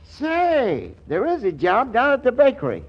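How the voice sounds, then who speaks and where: High-voiced dotty American pop. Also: male voice, wildly swooping pitch, a little slow, exaggerated voice. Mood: folksy. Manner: folksy, rambling, ungrammatical. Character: folksy, dotty middle-aged father and crackpot inventor. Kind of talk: 1940s radio comedy acting. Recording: muffled 1940s radio recording.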